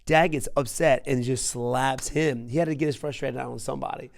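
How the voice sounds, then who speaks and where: Creaky-voiced man telling casual stories. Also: mid-range voice, fairly quick. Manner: animated, acts out quotes, repeats himself for emphasis. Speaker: podcast host. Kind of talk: casual storytelling chat.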